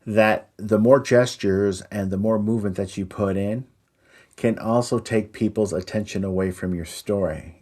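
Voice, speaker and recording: A man co-hosting an instructional discussion on storytelling, explaining deliberately and speaking directly to his listeners. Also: on the low side, darkish tone. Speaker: podcast co-host and Christmas performer.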